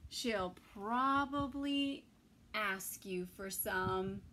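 A middle-aged woman reading a picture book aloud to toddlers, expressively, with pauses between phrases. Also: medium pitch, pushed and bright, slight background noise, rough recording. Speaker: children's story time reader. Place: small indoor room with bookshelves.

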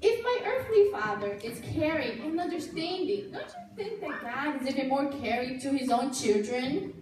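A woman's voice: high voice, lively intonation, normal speed, darkish tone. A woman voicing a cheerful, helpful girl puppet in a church puppet show, explaining things patiently, recorded from far off in a very echoey hall. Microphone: far camera.

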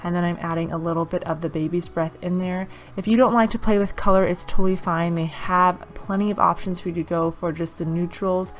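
A woman's voice: lowish voice, fairly even intonation, brisk, few pauses. A woman in her thirties gushing over home decor in a casual vlog, recorded at home on a camera mic. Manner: enthusiastic, gushing. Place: home dining room.

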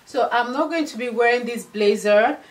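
A woman in her thirties chatting to camera as a fashion vlogger, affectionate and chatty, a little hoarse from losing her voice, recorded in a dressing room by a camera a few steps away. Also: on the high side, normal speed. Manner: chatty, affectionate, addresses viewers as darlings. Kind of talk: casual styling talk to camera.

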